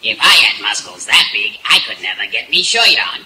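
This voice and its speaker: A man playing a gruff, good-hearted sailor with a gravelly growl and mangled grammar, in old-time radio comedy style. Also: on the low side, sing-song, moderate pace, background noise. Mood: amused, boastful. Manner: salty, ungrammatical sailor slang. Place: outdoor stage in woods. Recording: live outdoor stage, music behind.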